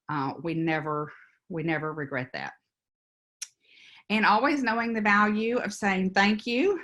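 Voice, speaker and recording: A woman's voice: lowish voice, fairly bright tone. A woman with a Louisiana Southern accent giving an informal, encouraging workshop presentation in an emphatic, conversational way. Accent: Louisiana Southern American. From Louisiana, United States. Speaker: workshop presenter, business consultant. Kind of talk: informal instructional webinar presentation.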